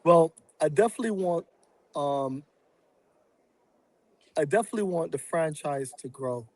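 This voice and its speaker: Podcast guest, man from Barbados, reflective and halting, slightly high pitch, dry recording. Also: animated, a little mellow, dry recording. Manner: reflective, halting with many fillers. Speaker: real estate investor and guest. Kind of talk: casual podcast interview.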